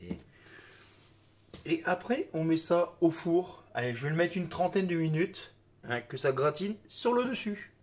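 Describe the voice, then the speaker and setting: A man's voice: fairly high pitch, expressive, measured pace. Chatty man with a slight cold. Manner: chatty, filler-heavy with "hop" and "hein". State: a bit of a cold. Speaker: cooking video host. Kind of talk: casual cooking demonstration. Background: kitchen clatter of dishes and utensils.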